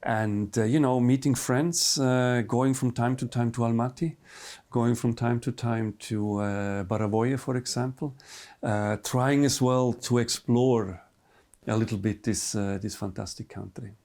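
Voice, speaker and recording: A middle-aged man from Switzerland, a native Swiss German speaker with a Swiss German accent, answering interview questions in English in a relaxed, halting way with many fillers. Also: mid-range voice. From Switzerland, near Bern. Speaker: hotel manager, guest.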